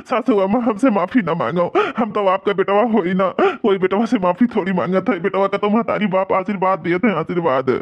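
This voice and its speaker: A man voicing a gentle, deferential young son-in-law in a village cartoon, with an Awadhi accent, in dull lo-fi sound. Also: high-pitched, brisk, few pauses. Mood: humble, tender. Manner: deferential, pleading. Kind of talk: village cartoon comedy acting.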